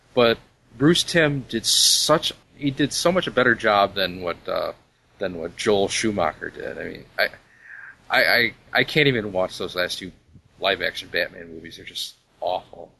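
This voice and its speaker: Opinionated guy on a podcast, lowish voice but kinda sharp and bright. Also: a little hiss or hum. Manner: opinionated, emphatic. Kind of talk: casual chat about animated films.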